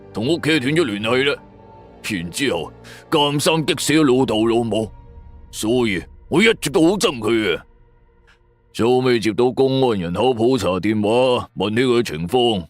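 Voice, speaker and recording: A man's voice: medium pitch, no echo, close to an everyday voice. A man with a Hong Kong Cantonese accent voicing a scornful, resentful wealthy man in an audio drama. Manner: haughty, sneering. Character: scornful, resentful wealthy man, callous about his sister. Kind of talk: audio drama character dialogue. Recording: background music under voice.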